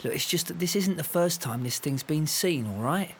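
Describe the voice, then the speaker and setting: A man's voice: a little high, expressive, moderate pace, a little hiss or hum. An actor in an audio drama playing an earnest, no-nonsense London policeman who talks bluntly and colloquially.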